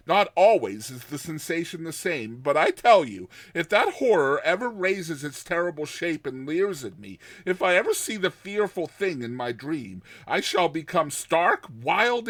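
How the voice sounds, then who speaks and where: A man's voice: on the high side, a bit bright, no echo. A man playing a haunted, terrified man on the edge of madness in a dramatized horror story, speaking intensely and drawing out his words. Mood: rising dread. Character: haunted, terrified man recounting a recurring nightmare, near madness.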